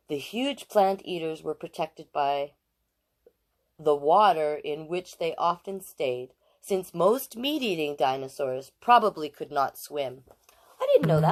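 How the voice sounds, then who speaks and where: A woman in her late forties hosting storytime, reading aloud to children with expressive emphasis and chatty asides. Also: low voice. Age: late 40s.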